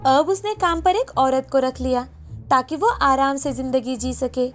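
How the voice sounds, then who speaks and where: A woman's voice: sits high, unhurried, faint music behind. A woman narrating a scripted moral story as storyteller.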